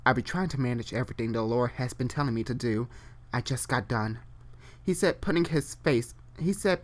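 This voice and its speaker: A person reading fan fiction aloud live, stumbling and repeating phrases, and breaking off for chatty, informal asides. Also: medium pitch. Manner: halting, repeats and corrects phrases while reading. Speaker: online storyteller.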